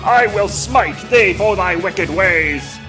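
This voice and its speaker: A man voicing a pompous, righteous Norse thunder god with a husky, declaiming delivery in a comic cartoon dub. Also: lively intonation, takes it slowly, distant mic, music in the background. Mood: pompous, defiant. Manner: booming archaic declamation. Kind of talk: animated comic fandub acting.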